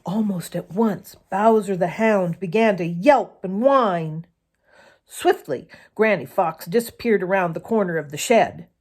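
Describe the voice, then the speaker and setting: A woman reading a children's story aloud in an expressive, deliberate storytelling manner with varied emphasis. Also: medium pitch.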